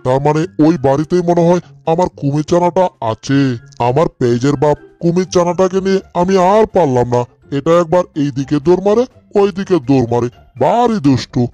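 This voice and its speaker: A man's voice: on the high side, lively intonation, fairly quick, dark tone, faint music behind, lightly acted. A man voicing a doting, fretful parent crocodile in a cartoon dub, fussing aloud over the babies. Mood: fretful, searching. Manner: self-narrating, fretting.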